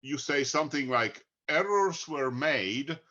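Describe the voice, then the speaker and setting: A man with an Italian accent, a book co-author, talking slowly and deliberately with many pauses. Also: mid-range voice, animated, sharp tone, dull audio. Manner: slow, deliberate, frequent "uh" pauses. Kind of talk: conversational talk.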